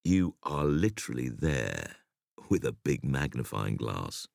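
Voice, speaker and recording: A man with an extremely low voice reads scripted interview answers for an audiobook. His delivery is measured and emphatic, with lively rises and falls and a gravelly creak. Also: no room sound, clean studio sound. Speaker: audiobook reader.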